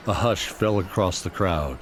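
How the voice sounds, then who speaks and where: A man's voice: sits low, unhurried, a crowd in the background. A man giving scripted narration of a fantasy story as its narrator.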